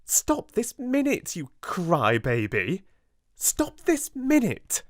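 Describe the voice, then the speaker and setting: A man's voice: high-pitched, wildly swooping pitch, slow pace, no room sound, studio quality, voice pushed up, exaggerated voice. A man doing a sneering, spiteful schoolgirl. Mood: bossy. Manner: mocking, bossy.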